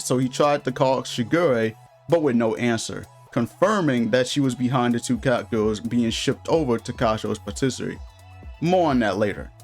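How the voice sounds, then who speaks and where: A man narrating a casual scripted video review in an informal, slangy way with some crude humor. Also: medium pitch. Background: background music.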